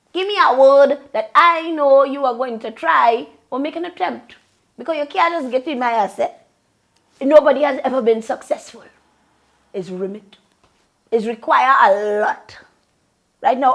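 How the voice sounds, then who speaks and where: A woman from Trinidad with a Trinidadian accent, ranting bluntly and profanely to camera in Creole-flavored casual talk. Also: high-pitched. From Trinidad and Tobago. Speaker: vlogger and longtime event host.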